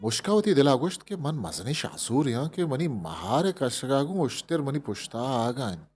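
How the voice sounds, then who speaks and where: A man with a Balochi accent reading a fable as a storyteller, in an expressive scripted narration. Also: medium pitch, normal speed, breathy edge. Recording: music behind the voice. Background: instrumental music.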